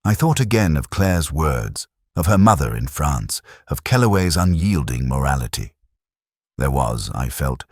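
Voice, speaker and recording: A man narrating a scripted first-person detective story in a measured, reflective, literary manner. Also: a bass voice, dry recording, studio quality.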